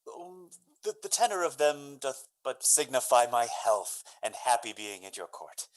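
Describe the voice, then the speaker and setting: Man playing an earnest young gentleman, animated delivery. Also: mid-range voice, moderate pace, close to an everyday voice, low-quality recording. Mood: nervous, deferential. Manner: hesitant openings, then florid Shakespearean verse. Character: young gentleman lover, earnest, eager, courteous. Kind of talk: Shakespearean radio drama acting.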